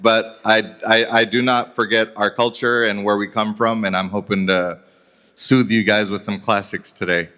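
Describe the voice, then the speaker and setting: A man born in the United States, a singing contestant, introducing himself softly with some hesitation. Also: on the low side, little pitch movement, moderate pace. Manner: soft-spoken, hesitant. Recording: noisy background.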